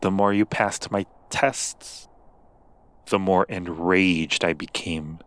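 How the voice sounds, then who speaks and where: A man playing a seductive, obsessive demon prince in human form, speaking slowly and deliberately with menacing tenderness in an ASMR roleplay. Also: low-pitched, fairly even intonation, fairly bright tone, dry recording. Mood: brooding, obsessive.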